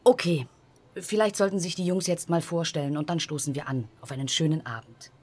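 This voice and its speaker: A woman's voice: sits low, steady tone, brisk, a little mellow, husky. A woman acting a dry, dismissive guest at a singles get-together in an audio drama. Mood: rallying. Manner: dry, sardonic. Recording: faint noise and room ambience.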